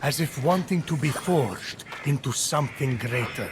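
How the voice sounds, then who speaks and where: An actor playing a grave, patient titan who narrates a game's tale in a measured, formal, dramatic way. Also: male voice, mid-range voice, expressive, very noisy, lightly acted. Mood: solemn, dramatic. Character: Prometheus, grave, patient male titan storyteller.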